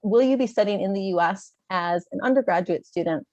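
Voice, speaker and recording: A woman with an American accent from Chicago, a little congested, presenting a webinar in a clear, organized way with some "um" fillers. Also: mid-range voice, a little fast, dark tone, no echo. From Chicago, USA. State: slightly congested, clearing throat. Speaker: webinar presenter, education advisor. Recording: dull, dry video-call audio.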